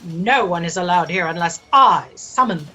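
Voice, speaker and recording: A woman's voice: fairly low pitch, expressive, pushed and bright, highs cut off, slight background noise. A woman playing an imperious, self-absorbed female boss in a haughty, dramatic way for a comic sci-fi audio drama. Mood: haughty, demanding. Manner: haughty, dramatic, drawn-out delivery.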